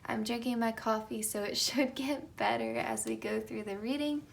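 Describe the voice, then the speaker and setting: Young woman with a raspy morning voice. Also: a little high, normal speed. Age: young adult. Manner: chatty, light laughs, soft whispered card calls. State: just woken up, slightly raspy. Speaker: tarot reader. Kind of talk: casual pick-a-card tarot reading.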